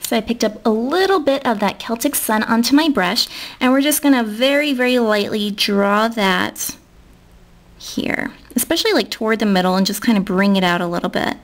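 A woman's voice: fairly high pitch, moderate pace. A young American woman giving a casual, chatty step-by-step tutorial in an informal way. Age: young adult. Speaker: nail art tutorial host.

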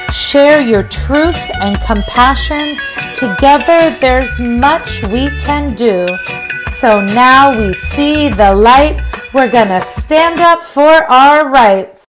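Lady reading a rhyming kids book out loud, really slow and measured, music playing behind her. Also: on the high side. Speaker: children's music teacher. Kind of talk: read-aloud of a rhyming picture book over music.

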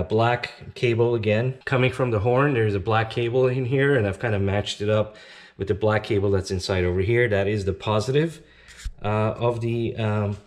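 A man giving an informal step-by-step product demonstration, explaining an installation plainly as a company representative. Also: fairly low pitch. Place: indoors at a tabletop demonstration bench.

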